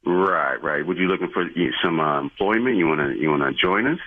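A man in a spontaneous phone conversation, husky and defensive, stammering and repeating his words, heard over a phone line. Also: sits low, lively intonation, a little fast, slight background noise. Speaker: church parking attendant on the phone. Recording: tinny narrowband phone line.

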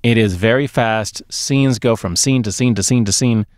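A man's voice: mid-range voice, moderate pace, no echo. A middle-aged man co-hosting a podcast, chatting casually about movies in an emphatic way, close to the mic. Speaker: podcast co-host. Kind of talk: casual movie review chat. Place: small dark sound-treated booth. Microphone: close podcast mic on boom arm.

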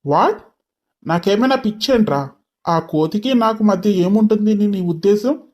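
A man's voice: sits high, normal speed, a little mellow, natural voice. Man acting an arrogant, short-tempered young boss. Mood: dismissive. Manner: curt, mocking, mixes in English phrases. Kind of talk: dramatized story dialogue.

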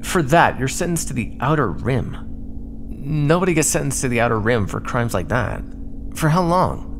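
A man playing a prickly, sarcastic starship crewman in an ASMR roleplay, talking with quick-tempered exasperation. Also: mid-range voice, sing-song, background noise.

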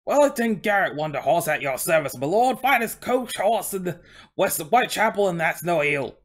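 A man's voice: sits high, very animated, moderate pace, pitched above the performer's own voice, heavily acted. A man voicing a proud, chatty, aggrieved talking London coach horse in a put-on Cockney accent, with deferential but boastful patter. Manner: colloquial, deferential, boastful working-class patter.

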